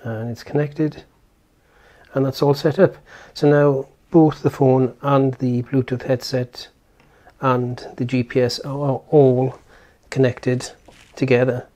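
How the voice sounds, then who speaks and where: A man's voice: medium pitch, level tone, slow, dark tone. A man giving casual hands-on product commentary as a reviewer, explaining step by step and thinking aloud informally. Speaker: tech reviewer and presenter.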